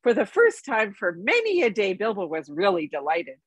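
A woman's voice: medium pitch, wildly swooping pitch, moderate pace, breathy edge, no room sound. A middle-aged woman narrating a bedtime story, reading aloud from a book. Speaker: story reader.

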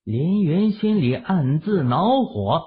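Male audiobook narrator, husky, lively pitch swings, thin phone-like sound. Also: slow pace. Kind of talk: expressive scripted novel narration. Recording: muffled, phone-like narrow sound.

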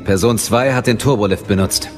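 A man's voice: on the low side, a little fast, lightly acted. A man playing a businesslike military investigator in an audio drama, reporting methodically and matter-of-factly. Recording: loud music behind the voice.

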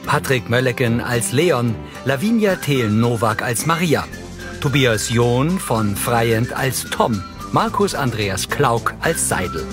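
Low-voiced man narrating over music. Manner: neutral, measured, factual. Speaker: audio description narrator. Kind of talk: scripted narration of a TV drama for blind listeners. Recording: voice over film soundtrack music.

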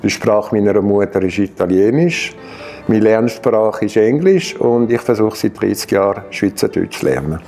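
A man in his sixties from Geneva with a French-speaking Swiss accent, French his first language, telling his own story to camera in a halting way with many hesitations and restarts. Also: fairly low pitch, lively intonation, mellow, faint music behind. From Geneva, Switzerland. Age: 60s. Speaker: patient sharing his experience. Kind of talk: personal account to camera. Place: bright studio room, indoors.

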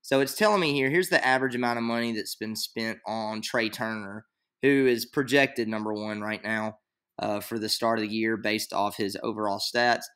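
A man's voice: on the low side, steady tone, moderate pace, fairly bright tone, clean tone. A young man explaining a draft on screen in a casual, rambling way, full of fillers, recorded at his computer in a small room. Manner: rambling, filler-heavy, thinks aloud. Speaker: fantasy league tutorial maker. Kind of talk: casual screen-share tutorial.

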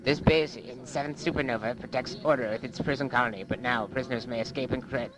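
A man's voice: medium pitch, steady tone, fast. A man narrating an amateur science fiction storyboard in a noisy home recording.

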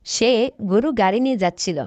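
A woman's voice: mid-range voice, a little fast, mellow, no echo. A woman narrating a scripted cartoon story.

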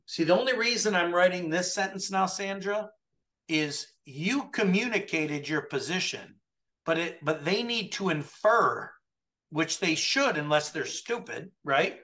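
A man's voice: high-pitched, lively intonation, unhurried, sharp tone. A man in his forties coaching over a video call from a home office, emphatic, and he keeps checking in with "right?". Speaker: trucking compliance coach. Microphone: webcam video call.

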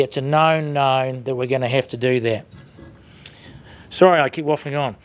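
Plain-spoken New Zealand man addressing a conference. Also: a little high. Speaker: industry leader giving closing conference address. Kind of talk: informal public speech from a podium. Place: conference room with seated audience, indoors. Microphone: lectern microphones.

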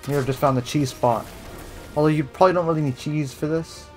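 A man's voice: a little high, steady tone, a little fast, faint music behind. A young man casually commenting while playing a game, muttering and getting exasperated at enemies. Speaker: gamer commentating a playthrough.

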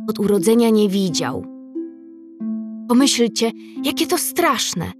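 A woman narrating a scripted history story for children, measured and addressing her young listeners directly. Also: medium pitch. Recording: music under the voice.

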